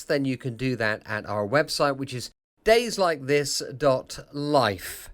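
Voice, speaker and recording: A man's voice: mid-range voice, fairly bright tone. A man chattily introducing a late-night podcast as its host.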